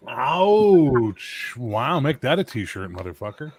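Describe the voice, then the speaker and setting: A man in casual chat, swearing freely and ribbing his friends with loud jokes. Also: medium pitch, very animated, takes it slowly, breathy edge, no echo. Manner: profane, loud joking banter.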